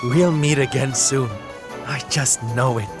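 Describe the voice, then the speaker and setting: A man's voice: mid-range voice, steady tone, a little slow, lightly acted. An actor playing a flamboyant, theatrical male entertainer in a video game cutscene, speaking in a showy, grand, sing-song way. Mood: earnest. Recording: game music behind.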